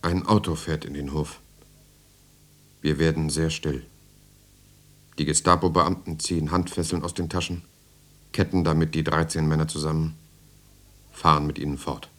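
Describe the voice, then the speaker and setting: An actor playing a weary political prisoner who narrates his story in measured, intimate short phrases in a radio play. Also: rumbling low, flat delivery. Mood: grim. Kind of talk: scripted dramatic narration.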